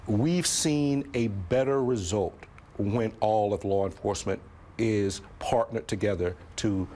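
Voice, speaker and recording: A man speaking as a federal law enforcement official in a news interview, deliberate and formal. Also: lowish voice, dark tone. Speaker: FBI official. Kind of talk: interview soundbite.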